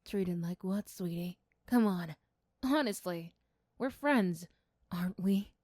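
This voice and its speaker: A woman's voice: fairly low pitch, slow pace, darkish tone, dry recording, clean studio sound. A woman voicing a smug female delinquent bully who talks in a taunting, confrontational way in an audio roleplay. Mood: mocking, smug. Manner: mocking, confrontational.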